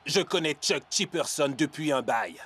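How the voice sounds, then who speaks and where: A man's voice: on the high side, lively intonation, moderate pace, a bit bright, slightly rough. A man voicing a laid-back, brotherly football player in a French-dubbed cartoon, slangy and easygoing. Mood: earnest.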